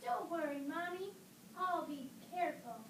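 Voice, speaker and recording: A woman's voice: extremely high pitch, lively intonation, unhurried. A woman voicing a sweet, innocent little girl in a fairy-tale puppet show, with a childlike, singsong delivery. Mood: cheerful. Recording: distant roomy recording with clear noise.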